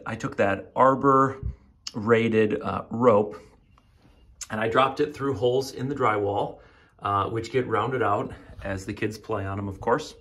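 Man, middle-aged, casual how-to walkthrough, relaxed, lots of fillers, handheld phone recording in a garage. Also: medium pitch. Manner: relaxed, rambling with many fillers. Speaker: home gym vlogger. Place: home garage, then attic. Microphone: handheld phone camera.

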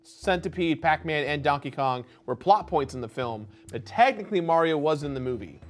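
A man's voice: a little high, expressive, brisk. A man in his thirties chatting casually as a quiz contestant, explaining his answers as he goes. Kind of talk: casual panel chat. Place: indoor studio set. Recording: music bed behind the voice.

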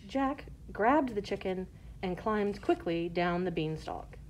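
A woman storyteller reading a scripted children's tale in measured phrases. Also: medium pitch, animated, slight background noise, poor audio.

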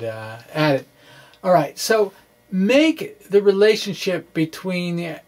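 A middle-aged man giving an informal physics explainer in a halting, conversational, wry way, speaking into a microphone indoors. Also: on the high side, vocal fry. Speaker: physics blogger. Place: small indoor room with bookshelves. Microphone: microphone on a stand in front of him.

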